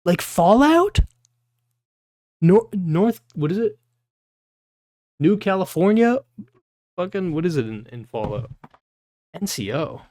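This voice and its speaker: A man's voice: sits high, big pitch swings, slow pace, long pauses, darkish tone, dry recording, studio quality. A man livestreaming, reading a novel aloud and breaking off into informal, puzzled asides with some swearing.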